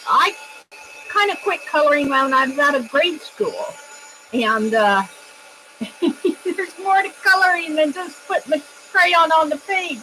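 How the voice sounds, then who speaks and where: A woman with a high, bright, projecting voice talks unhurriedly through an art demo on a livestream. She rambles and thinks aloud, chatty and loud. A faint hum of room ambience sits behind her. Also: rough recording. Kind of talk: casual livestream demo chat.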